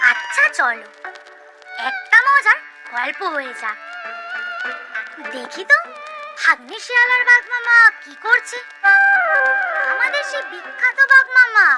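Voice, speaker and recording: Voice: extremely high pitch, exaggerated voice, rough recording. A performer voicing a cheeky, clever little goat kid in a cartoon, chatty and teasing in a sing-song way. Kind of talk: cartoon character acting and narrating to viewers. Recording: loud music behind.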